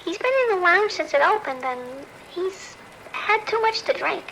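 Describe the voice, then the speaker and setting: Kind hotel desk worker played by a woman: strikingly high, anxious, familiar, slight noise behind, audio drama. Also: animated, normal speed, vocal fry, heavily acted. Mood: worried, sympathetic. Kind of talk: naturalistic audio drama acting.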